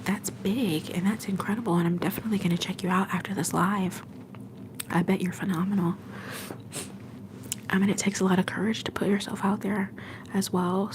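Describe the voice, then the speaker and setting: A young woman hosting a livestream from home, whispering softly and affectionately to her viewers. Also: medium pitch, noisy background. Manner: soft whisper, affectionate and encouraging. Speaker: livestream host.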